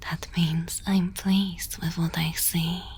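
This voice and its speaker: Evil queen for ASMR drama, female performer: very unhurried, hushed, commanding, coolly amused, seductive menace, faint room noise behind. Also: fairly low pitch, level tone. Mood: pleased, seductive. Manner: slow, deliberate, hushed delivery slipping into whispers; commanding, condescending, teasing. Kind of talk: ASMR roleplay, intimate dramatic monologue.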